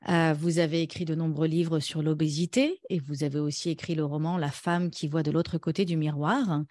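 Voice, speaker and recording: Female interviewer. Low-set, very mellow voice, dry close sound, attentive conversational delivery. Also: steady tone, moderate pace, no echo. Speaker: podcast interviewer.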